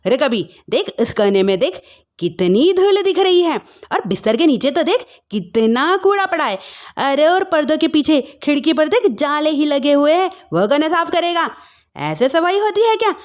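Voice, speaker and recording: A nagging older mother-in-law, acted out by a woman in a family story. She scolds with sarcasm and theatrical exclamation, her pitch leaping about in lively swings. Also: unhurried, close to an everyday voice. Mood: scolding.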